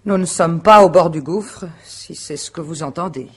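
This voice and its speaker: Husky, self-assured businesswoman wife. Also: fairly low pitch, normal speed. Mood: guarded, dryly ironic. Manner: articulate, formal, self-assured, dryly ironic. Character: composed, proud wife and agency director under questioning. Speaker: actress in a radio mystery. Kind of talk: French radio mystery drama acting.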